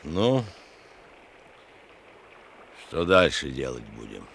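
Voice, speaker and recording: An actor playing a menacing, hardened criminal killer in a radio drama, sneering and threatening. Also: male voice, extremely low pitch, very animated, extremely slow, creaky, fairly bright tone, slight background noise, full character voice.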